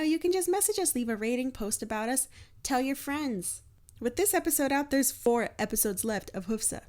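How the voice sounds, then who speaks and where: A woman hosting a podcast, making casual announcements in a chatty, informal, expressive way. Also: a little high. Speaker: podcast host and voice actor.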